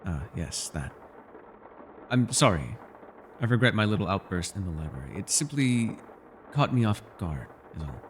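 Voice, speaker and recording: Man voicing a wry bookish elf, animated and echoing. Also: deep voice, moderate pace, long pauses, a little hiss or hum. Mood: apologetic, hesitant. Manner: wry, wordy and formal, flustered when pressed. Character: bookish, witty, guarded male elf companion. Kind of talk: fantasy ASMR roleplay acting.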